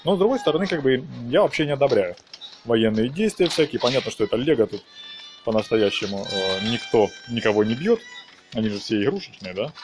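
A man's voice: mid-range voice, animated, a little fast. Chatty man over game music. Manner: playful, chatty, talks to the game characters. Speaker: gaming video host. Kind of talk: casual game walkthrough commentary.

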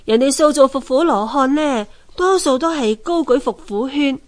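A woman plays a wise wife in a Cantonese radio drama with a Hong Kong accent. Her voice sits fairly high, and she advises her husband gently and expressively. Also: normal speed, close to an everyday voice. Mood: thoughtful.

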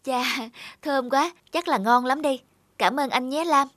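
A woman's voice: sits high, unhurried, a bit bright, no echo. A woman playing an earnest, heartbroken young woman in a cartoon, speaking her thoughts with emotion. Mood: wistful. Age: young adult. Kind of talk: cartoon dialogue and inner monologue. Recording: music under the voice. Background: music.

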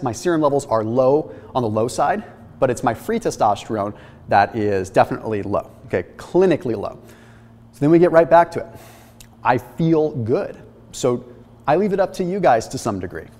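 A man in his thirties talks straight to the camera, walking through his lab results with energetic, emphatic explanation. His voice sits at an ordinary middle pitch, and a lingering reverb trails his words around the kitchen studio set. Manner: energetic, explanatory, emphatic, gesturing while holding papers. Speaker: health and fitness channel host.

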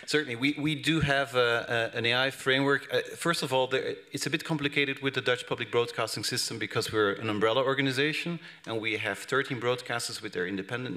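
A man in his thirties from Belgium answering questions on a conference panel, halting with many restarts, speaking into a table microphone in a large hall. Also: medium pitch, bright, forceful tone. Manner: hesitant, many restarts and fillers. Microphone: table microphone on stand.

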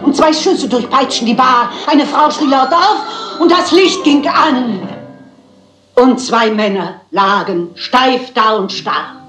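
An actress in a German crime drama playing a prim, plucky older spinster sleuth, speaking in a theatrical, articulate, dignified manner. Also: female voice, high voice, slow, a bit bright, roomy sound, faint music behind. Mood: dramatic reciting.

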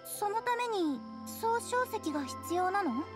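An actress voicing a gentle, earnest little girl in an anime game, soft-spoken and a little hesitant. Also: very high-pitched, lively intonation, a little slow, over music. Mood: curious.

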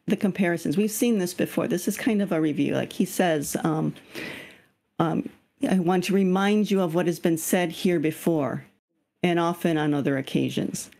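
A woman's voice: lowish voice, moderate pace, creaky, darkish tone. A woman leading an online reading group on a video call. Her voice is slightly scratchy, and she explains patiently and keeps asking the group questions. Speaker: study group leader.

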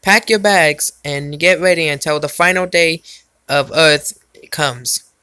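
A man's voice: on the high side, takes it slowly, bright, forceful tone, smooth, no room sound, studio quality. A man's robotic, stilted voice reading a formal scripted emergency broadcast announcement.

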